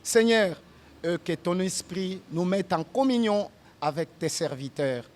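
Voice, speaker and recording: Congolese guy, native tshiluba speaker, leading a mourning prayer, measured and solemn, voice on the higher side. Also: lively intonation, darkish tone. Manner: solemn, measured, switching between languages. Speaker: master of ceremonies leading prayer. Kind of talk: prayer and address at a memorial gathering.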